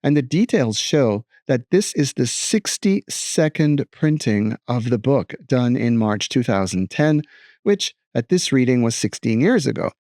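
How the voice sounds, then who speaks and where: A middle-aged man reading a picture book aloud as a teacher, adding expressive commentary with deliberate emphasis and wry asides. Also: medium pitch, dry recording, clean studio sound.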